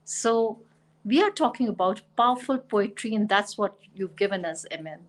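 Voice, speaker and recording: A woman's voice: mid-range voice, expressive, normal speed, rough recording. A woman hosting an online poetry reading, introducing poets with effusive praise. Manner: warm, effusive praise of guests. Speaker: host.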